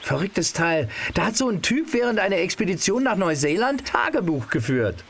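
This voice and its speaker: A man's voice: high voice, very animated, moderate pace, gravelly, fairly bright tone, exaggerated voice. A man playing an eager, insistent teenage boy in an audio drama, speaking in a casual, pressing way.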